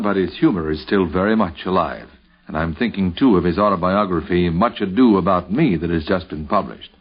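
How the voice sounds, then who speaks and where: Animated male host on vintage radio. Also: fairly low pitch. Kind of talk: 1950s radio retrospective narration. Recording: dull vintage 1950s radio recording.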